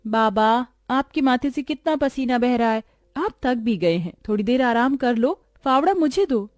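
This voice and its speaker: A woman voicing a poor, caring young farmer's daughter in a cartoon moral story, gentle and modest. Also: fairly high pitch, expressive, normal speed, dry recording, clean studio sound, pitched above the performer's own voice, lightly acted. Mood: caring, earnest. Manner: gentle, solicitous.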